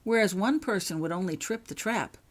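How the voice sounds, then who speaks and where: A woman's voice: mid-range voice, animated, brisk, lightly acted. A woman voicing a practical, helpful young male wizard in a storybook, speaking in a straightforward way.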